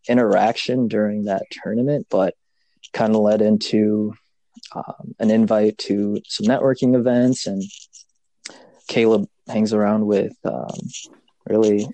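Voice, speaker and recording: Interview guest: man from Kenya, lowish voice, unhurried and easygoing, dry close recording. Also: mellow, no room sound, poor audio. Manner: easygoing, pausing to search for words. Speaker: podcast guest. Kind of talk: casual interview chat.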